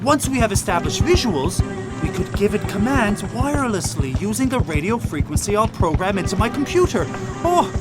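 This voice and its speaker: An actor playing a brainy, eager, good-hearted first mate with a precise, technical way of talking in an audio drama. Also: male voice, sits high, big pitch swings, normal speed, over loud music.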